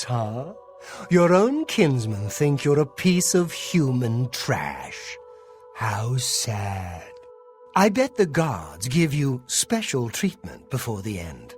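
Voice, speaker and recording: A man playing a spiteful prisoner in a video game, taunting a fellow inmate in a mocking, theatrical sneer. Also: mid-range voice. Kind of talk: video game character acting.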